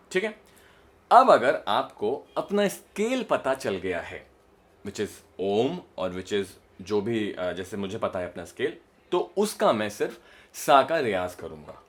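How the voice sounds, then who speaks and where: A man with an Indian accent explains like a voice coach, stressing points and often checking that the listener follows. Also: mid-range voice. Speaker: voice modulation coach. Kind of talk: instructional explanation to camera with demonstrations.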